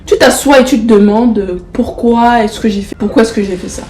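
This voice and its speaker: A woman's voice: on the high side, moderate pace, noisy background. A young woman with the flu and a stuffy nose gives a casual motivational talk to camera. She coaxes her viewers affectionately and repeats phrases for emphasis. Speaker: motivational vlogger. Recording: roomy echo.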